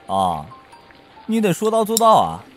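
A man's voice: high voice, huge pitch swings, unhurried, faint music behind, heavily acted. A man playing a wry, offhand, teasing friend in an audio drama.

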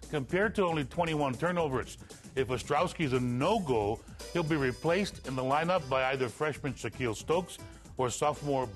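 Middle aged guy doing tv sports anchor read, normal pitch, bit of music behind. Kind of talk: scripted sports news narration. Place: TV news studio.

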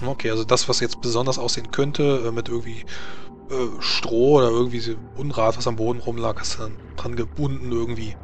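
A man narrating a tabletop game as game master, halting as he searches for words. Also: mid-range voice, flat delivery, faint music behind.

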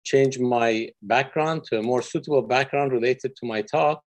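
A middle-aged man from Iran lectures on physics over a video call from his office. His pitch sits in the middle range, and he explains things in a friendly way with plenty of fillers. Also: normal speed. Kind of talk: online lecture. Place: office with windows.

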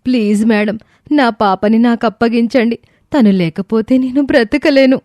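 A scheming mother, played by a woman in an audio moral story, imploring with theatrical sorrow. Her tone is mellow and the sound is dry and close. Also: fairly high pitch, moderate pace, no room sound, natural voice. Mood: tearful pleading. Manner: emotional, imploring. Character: deceitful mother pleading with fake tears.

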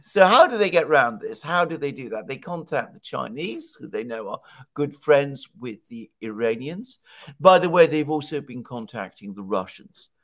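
A man in his sixties speaks as a political commentator in a conversational way. He explains things emphatically and sets up his points with rhetorical questions, at a middle pitch. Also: expressive, moderate pace, fairly bright tone. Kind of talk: conversational news analysis. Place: home study with bookshelves. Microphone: headset on video call.

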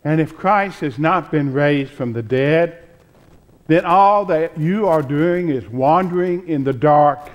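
Slow-paced man in his sixties, preaching. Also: fairly high pitch, highs cut off. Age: 60s. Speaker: pastor. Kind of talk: measured pulpit scripture reading. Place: church sanctuary, at the pulpit.

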